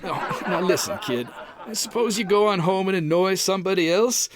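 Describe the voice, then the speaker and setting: A man's voice: sits high, animated, normal speed, crowd noise. A man playing a folksy, exasperated, wisecracking husband in an old-time radio comedy. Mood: flustered.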